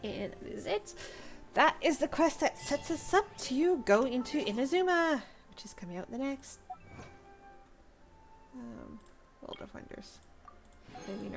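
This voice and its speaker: A woman's voice: a little high, creaky. A woman giving casual, rambling live commentary as she streams a video game.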